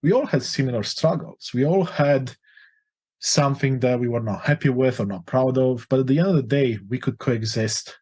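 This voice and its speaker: Husky earnest Romanian-born man, 40. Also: medium pitch, normal speed, darkish tone. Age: 40s. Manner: earnest, open and emotional. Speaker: guest life coach. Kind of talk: reflective interview storytelling.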